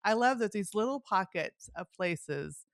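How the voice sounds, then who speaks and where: A woman's voice: mid-range voice, animated, a little slow, dry recording, clean studio sound. A woman hosting a podcast, chatting casually and enthusiastically in an informal way with lots of "like". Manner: chatty, informal, peppers talk with "like". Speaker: podcast host interviewing an author. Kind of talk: casual enthusiastic book chat.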